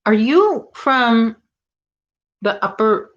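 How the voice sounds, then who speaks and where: A woman's voice: fairly high pitch, expressive, drawn-out words, fairly bright tone, muffled recording. A woman with a Chicago accent hosting a casual crochet livestream from home, chatting and teasing while she works. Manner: chatty, reads viewer comments, teasing.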